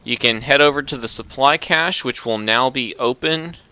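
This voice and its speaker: A man narrating a video game walkthrough in a relaxed, instructive, matter-of-fact way. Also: mid-range voice, normal speed, clean tone. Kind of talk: casual gameplay commentary.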